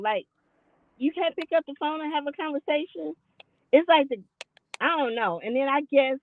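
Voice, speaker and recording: A woman's voice: sits high, dry recording, phone-quality sound. A woman in her late forties chatting candidly and loosely in a casual talk, self-deprecating and rambling as she explains herself. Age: 40s. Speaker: podcast guest. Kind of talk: casual chat.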